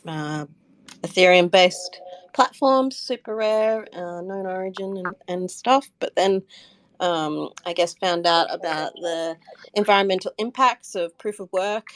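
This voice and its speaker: A woman's voice: fairly low pitch, dry recording. A woman answering as a guest in a casual interview, hesitant and thinking aloud as she goes. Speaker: interview guest, community member and artist.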